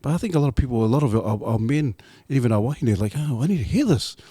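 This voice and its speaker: A man's voice: mid-range voice, animated, fast, dark tone, slightly rough, no echo, studio quality. A man co-hosting a podcast, encouraging his guest and dropping in Māori words. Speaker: podcast co-host. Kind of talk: casual chat.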